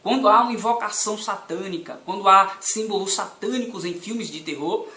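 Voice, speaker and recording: A young Bahian deacon preaches with a bright, forceful edge to his fairly high voice. He talks earnestly and repeats himself, rising from low, calm speech to fervent emphasis. The room is echoey, with faint ambience behind him. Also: fairly quick. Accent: Bahian Brazilian Portuguese. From Brazil, Salvador, Bahia. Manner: earnest, repetitive, builds from quiet to fervent. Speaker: deacon and evangelist. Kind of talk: informal preaching to camera.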